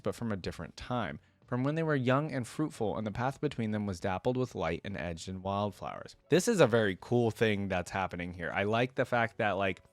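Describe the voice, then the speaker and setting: Low-voiced man, close dry sound. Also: dry recording. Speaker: reaction video host reading a story aloud. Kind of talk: story narration with casual fan commentary.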